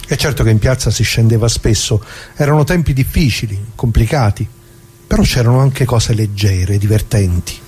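An actor playing an elderly working-class Italian former bricklayer in a radio drama monologue, plain-spoken, halting and folksy as he reminisces. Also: medium pitch, darkish tone, a little hiss or hum, close to an everyday voice. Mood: earnest, reminiscing. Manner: folksy, halting, with rhetorical tags.

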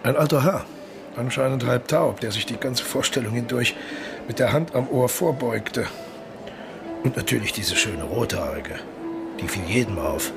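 Urbane, self-assured aging colonel. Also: male voice, mid-range voice, animated, moderate pace, vocal fry, music in the background. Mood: feigned casualness. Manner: authoritative without barking, politely bored. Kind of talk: noir radio play dialogue.

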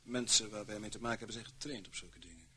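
An actor in a radio play playing a dry, fussy middle-aged intelligence official who speaks formally, with small hesitations. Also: male voice, on the low side, fast talker, natural voice. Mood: businesslike. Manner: formal, hesitant, wryly bureaucratic. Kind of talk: radio drama acting. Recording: noisy old radio play recording.

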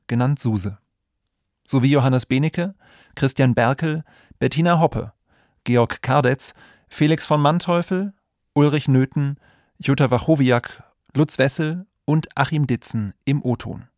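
A man's voice: medium pitch, measured pace, no room sound, clean studio sound. A man announcing the title and credits of a radio play as a scripted reading.

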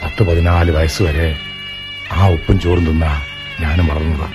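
An actor playing an older, reflective Malayali landlord in a radio drama, with a measured storytelling manner and a dignified air. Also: male voice, a bass voice, little pitch movement, over loud music.

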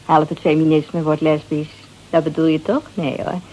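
A woman's voice: deep voice, little pitch movement, moderate pace, warm tone, low-quality recording. An actress playing a brazen, flirtatious married woman who drinks sherry, teasing and mocking in a radio drama. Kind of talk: Dutch radio drama acting.

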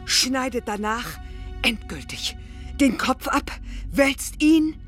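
An actress playing a solemn, resolute woman who narrates a biblical radio drama in halting, incantatory phrases. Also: sits high, expressive, fairly bright tone, faint music behind, full character voice. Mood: tense, resolute.

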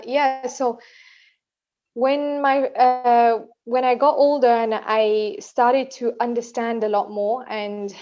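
Young female podcast co-host, bright-ish voice, casual storyteller, lo-fi dull recording. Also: on the high side. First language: not Urdu. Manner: chatty, many fillers and "you know what I mean".

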